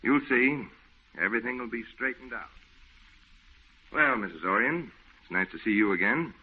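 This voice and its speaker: A man's voice: mid-range voice, expressive, normal speed, slightly rough. A man acting a breezy, reassuring friend in an old-time radio drama, with a hearty, folksy way of talking. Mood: jovial. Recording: muffled, narrow-band old radio recording.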